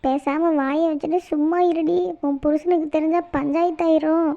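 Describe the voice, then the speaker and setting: Lady doing high squeaky-pitched tamil nadu village auntie, bossy cartoon gossip, muffled sound. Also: fairly even intonation, normal speed, mellow. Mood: excited, nosy. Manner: colloquial, scolding, teasing. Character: bossy, chatty village woman among gossiping friends. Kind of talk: cartoon comedy skit dialogue. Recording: muffled, band-limited audio.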